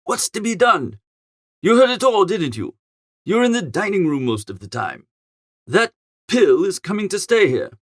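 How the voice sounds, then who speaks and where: A man as a high-voiced, flustered young English fop. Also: very animated, normal speed, dry recording, studio quality. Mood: agitated. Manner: exasperated, melodramatic, chatty. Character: flustered, foppish young English gentleman narrator. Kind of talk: comic first-person audiobook narration.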